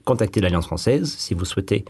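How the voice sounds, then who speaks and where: A man's voice: on the low side, expressive, quick pace. A man presenting and interviewing as a radio host.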